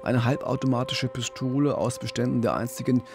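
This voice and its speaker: A man's voice: mid-range voice, steady tone, brisk, over music. A middle-aged man co-hosting a true-crime podcast, telling a case conversationally into a studio microphone. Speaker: podcast co-host, crime reporter. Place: small radio studio. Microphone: studio microphone with pop filter, headphones.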